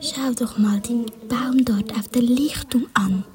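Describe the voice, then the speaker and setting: A woman's voice: a little high, slow pace, dark tone, far from the mic. A woman whispering the part of a greedy small male gnome. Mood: whispered.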